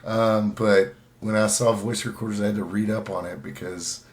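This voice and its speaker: A man hosting a show in a casual, folksy way, thinking aloud in a halting, unscripted manner. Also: lowish voice, steady tone. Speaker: host of a writing tips show.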